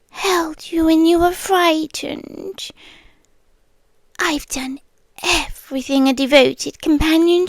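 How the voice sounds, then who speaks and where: A woman with a British accent playing a possessive, centuries-old spider creature, a doting yet menacing girlfriend, in a breathy, intimate half-whisper for an ASMR roleplay. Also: high-pitched, dry recording, full character voice. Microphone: close dry microphone.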